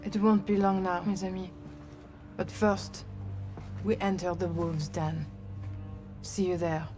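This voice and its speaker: A woman's voice: on the low side, flat delivery, slow. An actress playing a resolute young resistance woman, controlled and determined. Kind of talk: cinematic war game acting. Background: music.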